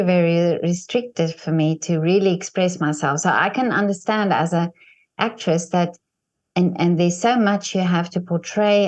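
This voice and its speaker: A woman, Afrikaans-born, interviews her guest in English. Her voice sits fairly low, and she keeps the talk going with steady affirmations and encouraging replies. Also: muffled recording. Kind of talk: interview conversation.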